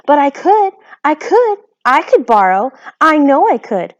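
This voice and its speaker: A woman's voice: high voice, very animated, measured pace, no echo, pitched above the performer's own voice. A woman voicing an eager, earnest young borrower girl who pleads and insists. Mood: insistent. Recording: slightly dull lo-fi recording.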